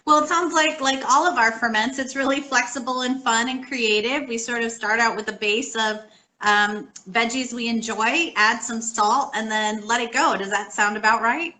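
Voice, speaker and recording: Woman, host, high voice, bright tone, upbeat questions, casual interview. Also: normal speed, low-quality recording.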